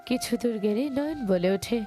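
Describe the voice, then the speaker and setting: A woman's voice: a little high, expressive, normal speed, dark tone, faint music behind. A woman reading scripted narration for a romantic audio story.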